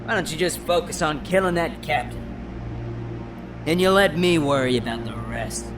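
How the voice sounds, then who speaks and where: A man's voice: on the high side, moderate pace, close to an everyday voice. An actor playing a scornful, sardonic alien gunman in an audio drama, needling and exasperated. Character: scornful, exasperated male alien gunman scheming with his brother. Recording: music bed behind the voice.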